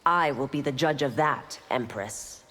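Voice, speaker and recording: An actress playing a stern, loyal police constable in a video game, speaking in a measured, formal and firm way. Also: female voice, extremely low pitch, sharp tone, a little hiss or hum, full character voice. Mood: formal, respectful. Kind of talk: video game dialogue acting. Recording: long hall-like reverb.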